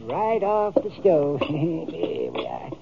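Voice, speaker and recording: An American actor playing a chatty, eager small-town cook with a folksy, fussing way in a radio western. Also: male voice, a little high, huge pitch swings, slow, gravelly, heavily acted. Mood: eager, obliging. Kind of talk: 1950s radio western acting. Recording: muffled, noisy old radio recording.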